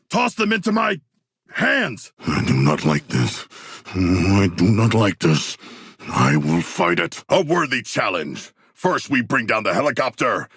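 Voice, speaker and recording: An actor playing a huge, solemn shark warrior in a video game, eager for battle, speaking in formal, stilted phrases with a raspy, gravelly voice. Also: male voice, mid-range voice, fairly bright tone, dry recording, studio quality, full character voice. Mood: fierce, battle-ready. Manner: formal, stilted, grandiose diction without contractions. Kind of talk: video game character voice lines.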